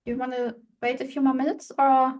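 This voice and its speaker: Higher-pitched woman. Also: level tone, brisk, a little mellow, muffled recording. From Germany. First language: German. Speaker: webinar moderator. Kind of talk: informal webinar housekeeping.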